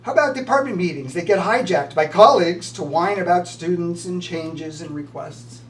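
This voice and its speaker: Older man, higher-pitched, distant mic. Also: normal speed, fairly bright tone. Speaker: retiring college professor. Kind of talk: prepared farewell remarks with a quiz. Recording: distant mic with slight background noise.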